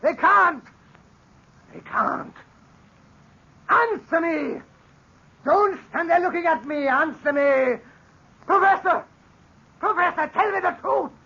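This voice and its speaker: An actor playing a scheming captain and former stage actor turned murderer in a 1940s radio drama, blustering and cracking into frantic shouts. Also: male voice, slow, gravelly, long pauses, exaggerated voice. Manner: blustering, grows frantic and shrill. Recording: dull old radio broadcast recording.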